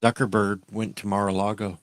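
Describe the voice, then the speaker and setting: A man with a fairly low voice who tells jokes deadpan in a casual chat. The recording is dry and close, with no room sound. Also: brisk, no echo. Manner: deadpan joker. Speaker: show host.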